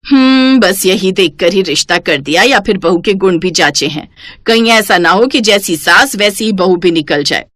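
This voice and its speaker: A responsible, middle-aged sister-in-law in a cartoon tale, voiced by a woman. She sounds stern and sceptical, admonishing briskly with lively intonation in a dry, close recording. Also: medium pitch, dry recording, close to an everyday voice. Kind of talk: Hindi cartoon moral story acting.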